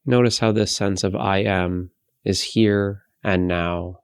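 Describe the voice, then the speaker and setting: A man leading a guided meditation in a gentle, unhurried way, leaving long pauses between phrases. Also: low-pitched, fairly even intonation, smooth, no room sound, studio quality. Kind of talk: guided meditation, soothing instruction.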